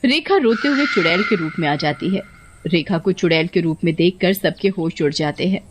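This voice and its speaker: A woman's voice: fairly low pitch, lively intonation, moderate pace, background noise. A woman narrating a scripted children's story.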